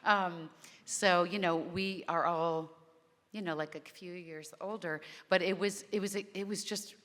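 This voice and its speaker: Low-voiced woman actor, heartfelt and rambling, on a reverberant panel stage. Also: steady tone, unhurried, a bit bright. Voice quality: naturally low voice. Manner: rambling, heartfelt, restarts often. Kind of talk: convention panel chat. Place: convention panel hall.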